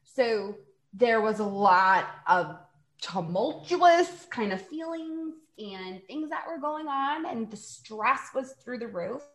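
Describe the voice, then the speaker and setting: A woman's voice: fairly high pitch, animated, slow. A woman in her forties in a casual podcast chat, telling stories in a chatty way. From New York. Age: 40s. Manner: chatty storytelling, laughs while acting out quotes. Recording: echoey room.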